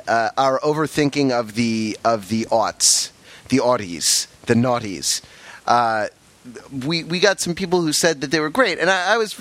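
A man's voice: mid-range voice, a bit bright. A man hosting a podcast in casual chat, a little sick, rambling with lots of fillers and restarts. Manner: rambling, self-interrupting with fillers. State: sick, under the weather. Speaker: podcast host.

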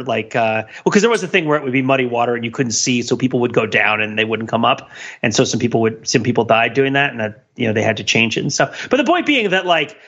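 A middle-aged man chatting casually as a podcast co-host in an animated, conversational way, on slightly lo-fi audio. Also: mid-range voice. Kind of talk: casual chat.

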